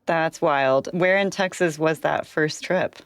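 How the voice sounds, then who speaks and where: A woman's voice: sits low, slow pace. A woman hosting a city podcast, asking brief, friendly interview questions. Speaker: podcast host.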